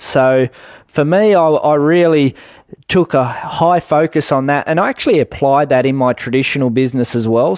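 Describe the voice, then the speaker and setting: A man's voice: medium pitch, darkish tone, no echo. A man with a mid-range voice gives explanatory answers as an interview guest. The sound is dry, with very little room around it. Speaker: guest business expert.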